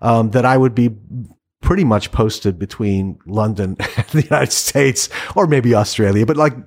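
A middle-aged man from Iran, a writer and interview guest, speaking reflectively in a casual conversation with frequent restarts and soft laughs. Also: medium pitch, normal speed.

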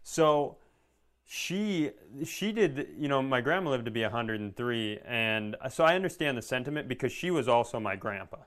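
A man's voice: medium pitch, expressive, normal speed, fairly bright tone. A man co-hosting a comedy podcast, telling rambling, wry stories in casual chat.

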